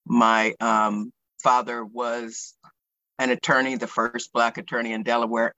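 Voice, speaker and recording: A man in his sixties with an American accent recounts memories for an interview. His voice is bright and mid-pitched, recorded dry. He speaks reflectively, often halting to find the right word. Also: dry recording, low-quality recording. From Pennsylvania, United States. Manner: reflective, hesitant, pausing to choose words. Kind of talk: oral history interview, personal recollection.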